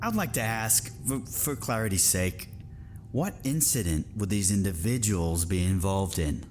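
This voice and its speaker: A man acting a military council member who questions witnesses in a formal, measured, probing way. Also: fairly low pitch, animated, darkish tone, faint music behind. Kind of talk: screen drama acting.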